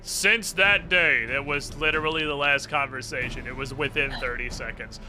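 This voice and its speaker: A man's voice: medium pitch, fairly even intonation, moderate pace, bright, forceful tone. A man doing casual gaming commentary in a sarcastic, mock-dramatic and profane way. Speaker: game streamer. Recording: music playing behind. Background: game music.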